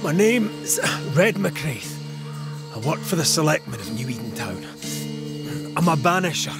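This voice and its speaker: An actor playing a wry, stubborn Scottish banisher in a video game cutscene. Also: male voice, on the high side, very animated, a little slow. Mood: matter-of-fact. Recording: loud music behind the voice.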